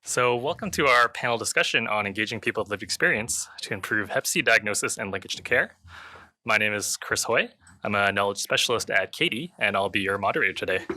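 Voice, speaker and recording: A man's voice: on the low side, animated, a little fast, pushed and bright. A man moderating a panel, giving a prepared introduction to an audience.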